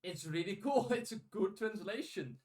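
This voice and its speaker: A man's voice: high voice, expressive, normal speed, a little mellow. A man with a Dutch accent chatting casually as a podcast host, often restarting his sentences in a rambling way. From Netherlands. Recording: echoey room, distant from the mic.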